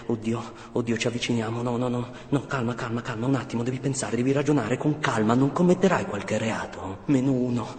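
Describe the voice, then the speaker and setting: An actor playing a naive, excitable boy and his wry grown self in a reverberant theatrical radio monologue. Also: mid-range voice, fairly quick, hardly any pauses, natural voice. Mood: anxious. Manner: excitable, repeats words, comically bewildered. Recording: echoey hall reverb, faint music.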